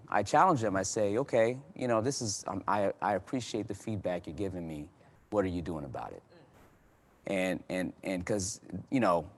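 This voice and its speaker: A man with a slightly low voice talks earnestly in a panel discussion. He speaks conversationally and often repeats words or restarts sentences. His voice grows more animated as he goes on. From United States. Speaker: panel guest.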